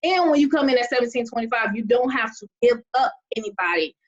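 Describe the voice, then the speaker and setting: A woman's voice: on the high side, expressive, quick pace, lo-fi sound. A woman hosting a video call, presenting with upbeat energy and checking in with "okay?" again and again. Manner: upbeat, repeats "okay?" often. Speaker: host of an online money-making call.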